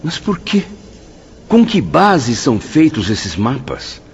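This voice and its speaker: An actor playing an indignant, questioning spirit in a radio drama, with a husky, rough voice. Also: male voice, mid-range voice, big pitch swings, slow, muffled recording, slight background noise. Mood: indignant, disbelieving. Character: indignant, questioning male spirit challenging fate.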